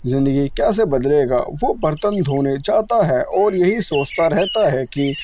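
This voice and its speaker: A man's voice: fairly high pitch, lively intonation, unhurried, mellow, slight background noise. A man narrating a scripted cartoon story as a storyteller.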